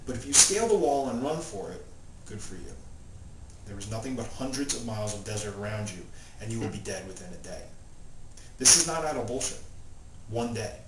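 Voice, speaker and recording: Low-voiced man in a noisy, echoey room. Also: expressive, fairly quick, pauses often. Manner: reads without character voices. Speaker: author reading from own novel. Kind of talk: informal talk and plain prose reading aloud. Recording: roomy, distant mic, loud background noise.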